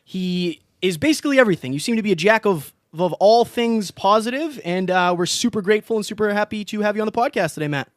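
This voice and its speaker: A man hosts a podcast interview and draws out his questions in an eager, warm-up way. His voice is high for a man. The recording is dry, with no room echo. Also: lively intonation, quick pace, hardly any pauses. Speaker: podcast host.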